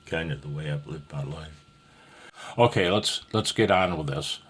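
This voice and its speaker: A man's voice: very deep voice, normal speed, fairly bright tone, husky. A man giving a casual, rambling amateur radio tutorial in a folksy, self-deprecating, slightly nervous way.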